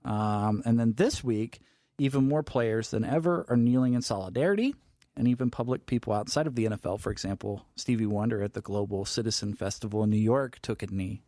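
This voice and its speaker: A man's voice: on the low side, fairly quick, mellow, dry recording, studio quality. A man co-hosting a casual talk podcast, laughing easily and reading news quotes aloud. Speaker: podcast co-host. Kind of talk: casual chat.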